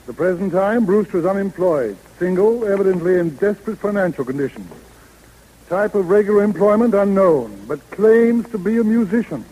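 A brisk American senior law partner from a 1930s radio play. The voice is high and husky with a soft tone, giving orders and facts in a businesslike, authoritative way. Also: male voice, animated, low-quality recording. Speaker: radio actor. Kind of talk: 1930s radio drama acting. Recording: vintage 1930s radio broadcast.

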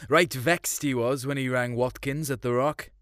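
A man's voice: mid-range voice, fairly even intonation, moderate pace, fairly bright tone, no room sound, lightly acted. A man acts out a Welsh hill farmer from a storybook, looking back on his youth. He talks in homely country dialect with a wistful, colloquial manner. The recording is dry. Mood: troubled, wistful. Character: Uncle Jack, big bearded Welsh hill farmer reminiscing fondly. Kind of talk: storytelling short-story reading.

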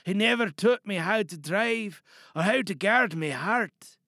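A man's voice: high voice, lively intonation, unhurried, pushed and bright, no room sound, studio quality, full character voice. A man playing a shy, hurt student reading aloud to a class in an audio monologue, hesitant and apologetic, holding anger under strained politeness. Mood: restrained bitterness.

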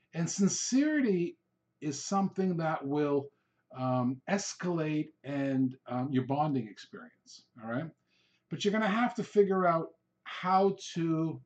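A man, a native Hungarian speaker, hosting an informal solo coaching podcast, talking in an emphatic, rambling way and repeating himself to drive points home. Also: fairly high pitch. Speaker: podcast host, real estate sales coach. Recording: dull, lo-fi podcast recording.

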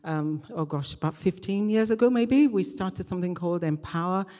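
A woman's voice: on the low side, lively intonation, normal speed, a little mellow. A middle-aged woman speaking as a guest preacher, conversational and hesitant in phrasing, with a light touch. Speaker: guest preacher, regional church minister. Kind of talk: conversational church sermon and interview. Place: large church hall, live event. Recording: echoey hall with long reverb.

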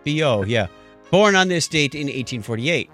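A man's voice: on the high side, lively intonation, normal speed, fairly bright tone, soft background music. A man hosting a podcast with playful, theatrical announcing and casual comic banter. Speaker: podcast host.

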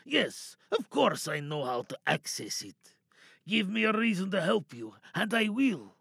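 A man playing a cynical, wary captive scientist in an audio drama monologue, sardonic and probing, bargaining with quiet menace. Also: high-pitched, sing-song, measured pace, vocal fry, breathy edge, no echo, clean studio sound, heavily acted. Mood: tense, bargaining. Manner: sardonic, probing, rhetorical questions.

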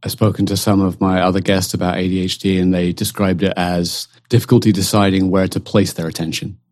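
Calm low-voiced British man hosting. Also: a little fast, no room sound. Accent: British English. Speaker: podcast host. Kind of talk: scripted intro and interview.

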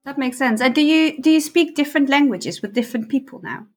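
A woman from the Netherlands interviewing a guest, calmly summing up each answer before her next question. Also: sits high. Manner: measured, sums up answers. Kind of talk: podcast interview.

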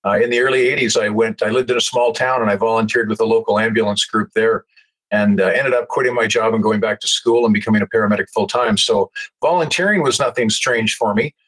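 A man's voice: fairly low pitch, steady tone, quick pace, a bit bright, poor audio. A man in his sixties with a Canadian accent, telling stories conversationally as an interview guest. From Alberta, Canada. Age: 60s. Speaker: interview guest, retired paramedic. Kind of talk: conversational storytelling.

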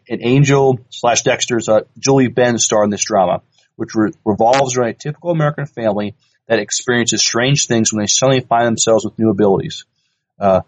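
A man co-hosting a podcast, casually reading news items aloud in a halting, stumbling way. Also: on the low side, low-quality recording. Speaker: podcast co-host.

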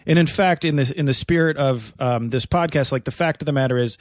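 A man's voice: medium pitch, brisk, no room sound, studio quality. A man co-hosting a casual comedy podcast from his apartment, rambling through fillers and restarts with crude jokes. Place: apartment room.

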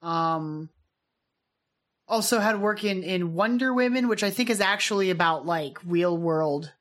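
Chatty woman podcast co-host. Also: lowish voice. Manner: chatty, quick reactions, filler-heavy asides. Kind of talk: casual chat.

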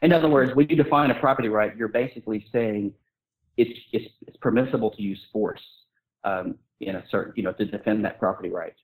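A man's voice: on the low side, a little fast, rough recording. A man speaking as a guest author in an informal intellectual discussion, arguing his case and often rephrasing mid-sentence.

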